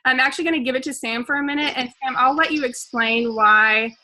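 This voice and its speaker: A woman hosting a video call, making an enthusiastic, persuasive pitch with plenty of fillers. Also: brisk, bright, forceful tone, slight background noise. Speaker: host.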